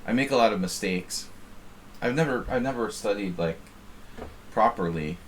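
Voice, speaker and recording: American guy streaming chess, lowish voice, rambly and self-mocking, slight hiss. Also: lively intonation, moderate pace, poor audio. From United States. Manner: rambling, self-deprecating, reads chat aloud. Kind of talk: casual live-stream chat.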